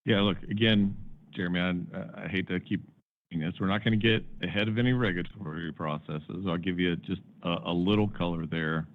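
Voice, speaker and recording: Male executive, low voice, measured, emphatic repetition, earnings call, dull band-limited sound. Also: fairly even intonation, dry recording. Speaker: company executive answering analysts. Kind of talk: earnings call question-and-answer.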